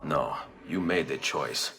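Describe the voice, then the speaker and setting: An actor playing a stern, solemn young assassin with an Italian accent in a video game cutscene. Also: male voice, extremely low pitch, slow, pushed and bright, exaggerated voice. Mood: grave, resolute.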